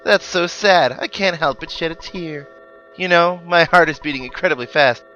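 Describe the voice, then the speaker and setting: Man putting on an obnoxious, gloating boy villain, pitched up. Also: moderate pace, pushed and bright, dull audio, slight background noise. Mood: gleeful mock pity. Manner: taunting, theatrical, mock-pitying. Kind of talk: read-aloud video game villain dialogue.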